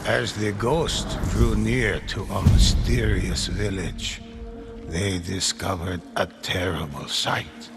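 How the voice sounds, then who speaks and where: An actor playing a solemn storyteller of ghostly samurai legends, narrating a game in a measured, dramatic way. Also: male voice, on the low side, gravelly. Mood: grave, ominous. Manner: slow, dramatic, measured delivery. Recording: music under the voice.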